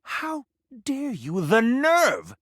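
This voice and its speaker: A man's voice: extremely high pitch, sing-song, drawn-out words, fairly bright tone, slightly rough, dry recording, studio quality, heavily acted. An actor playing a snobbish, theatrical food critic in a video game, a man with pompous, precise, refined diction. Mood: offended, scornful. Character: flamboyant, snobbish, easily offended male food critic, grandly romantic. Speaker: restaurant critic.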